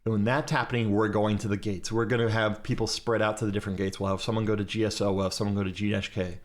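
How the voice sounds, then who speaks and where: A man's voice: on the low side, little pitch movement, fast. A man teaching a class during a live game, giving step-by-step directions to his fleet over voice chat.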